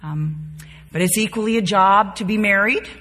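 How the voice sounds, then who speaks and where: A woman in her fifties teaches a Bible study with a husky edge to her bright voice. She speaks in a folksy, conversational way to a group. The room rings with long reverb. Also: mid-range voice, normal speed. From born and raised in the Bahamas, later North Carolina. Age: 50s. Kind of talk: informal devotional teaching to a group. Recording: reverberant hall.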